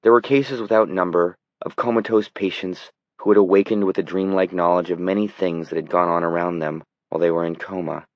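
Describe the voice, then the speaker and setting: Deep-voiced male audiobook narrator. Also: fairly even intonation, a little fast, hardly any pauses, no echo, highs cut off. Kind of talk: scripted dramatic fiction narration.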